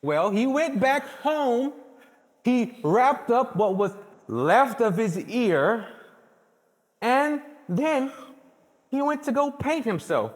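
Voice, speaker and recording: High, animated boastful painter in echoey hall man acting. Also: slow pace, heavily acted. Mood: animated storytelling. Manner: theatrical, conversational, addressing the audience. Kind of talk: stage play monologue.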